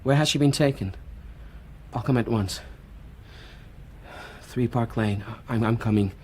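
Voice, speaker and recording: A man's voice: mid-range voice, a little slow, noisy background, close to an everyday voice. An actor playing an anguished, volatile young man in a radio drama, theatrical and intense in his phrasing. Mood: shaken, subdued. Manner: declamatory, intense, archaic poetic phrasing. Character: anguished, volatile young man, a self-styled savage quoting Shakespeare.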